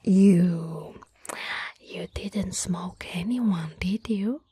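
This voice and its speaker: A woman playing a tall, teasing, caring young childhood friend in an ASMR roleplay, with a soft, breathy, slightly husky voice and a gently coaxing manner. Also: on the low side, full character voice. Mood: coaxing, concerned. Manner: slow, playful, gently coaxing.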